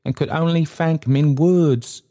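A humble old man of little means, played by a male narrator, his voice lifted high and thin above a narrator's register. He falters and pleads, deferential and earnest, like a timid soul in a storybook. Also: sing-song, a little slow, a little mellow. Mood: timid, pleading. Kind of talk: dramatised storybook reading.

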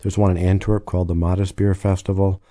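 A man appearing as a guest expert on a radio travel show, answering questions in a steady voice with dry, deadpan humor. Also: sits low, quick pace, dry recording.

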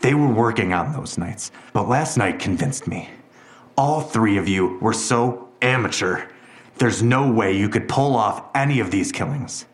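An actor playing a ruthless, controlled police detective in an audio drama, speaking in a measured, coldly threatening way. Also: male voice, lowish voice, lively intonation, reverberant.

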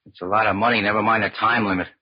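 An actor playing an easygoing out-of-work trumpet player and crook's pal, with American slang, in 1940s radio drama style. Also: male voice, on the low side, fairly even intonation, very fast, very noisy, natural voice. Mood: amused, casual. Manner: slangy sidekick talk. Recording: muffled vintage radio recording.

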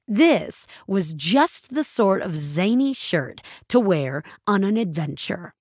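A woman reading scripted fiction as a narrator, with a measured storytelling delivery. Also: medium pitch, very animated, a little mellow, no echo, studio quality. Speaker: narrator and reader of an audio story.

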